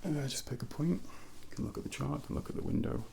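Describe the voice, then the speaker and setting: A man speaks in a soft, breathy, near-whispered voice full of heavy creak. He plays an ASMR doctor, gently guiding a patient through an exam with unhurried, reassuring instructions. His pitch moves around freely, and there is faint noise behind him. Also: big pitch swings. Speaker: ASMR performer role-playing a doctor. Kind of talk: soft-spoken ASMR medical exam role-play.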